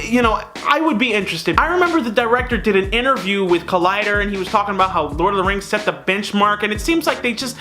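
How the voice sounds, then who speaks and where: A man's voice: high voice, pushed and bright. A man hosting a casual, opinionated review, talking straight to viewers in a conversational, emphatic way. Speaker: film reviewer and host.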